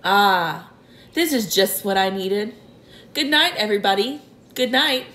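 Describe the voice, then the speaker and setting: A slow-spoken old grasshopper with a dignified, scornful air, as a woman reading a storybook puts him on. He drawls each word with old-fashioned politeness. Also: mid-range voice, lively intonation, bright, forceful tone. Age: older.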